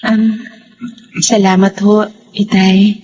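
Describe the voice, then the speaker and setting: A woman's voice: mid-range voice, flat delivery, slow, dark tone, lo-fi sound, a little hiss or hum, close to an everyday voice. An actress playing a heartbroken but grateful young single mother in a Filipino radio drama, with a Filipino accent. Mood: tender. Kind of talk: Filipino radio drama acting with first-person narration.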